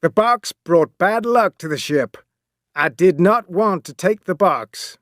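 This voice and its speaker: Stern ship captain with a high, strained bellow, voiced by a man. Storybook reading. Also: expressive, takes it slowly, a bit bright, dry recording, studio quality, heavily acted. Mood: explaining. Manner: bellowing commands, then plain explaining. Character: stern male ship captain, shouting orders.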